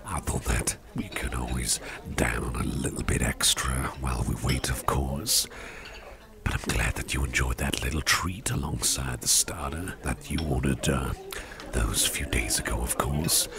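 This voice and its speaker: Guy doing a huge jovial boss for asmr date roleplay, super deep gravelly voice, folksy n soothing, hearty eater. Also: full character voice. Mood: pleased, genial. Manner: slow, soothing, chuckling, folksy, repeats phrases reassuringly. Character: big, muscular, jovial, hearty-eating boss on a dinner date. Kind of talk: ASMR roleplay, personal attention. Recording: restaurant crowd murmur behind the voice.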